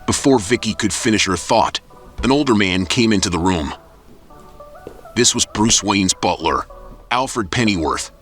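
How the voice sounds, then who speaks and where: A man narrating an audio drama in a steady storytelling manner. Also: on the low side, measured pace, pauses often. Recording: music bed under narration.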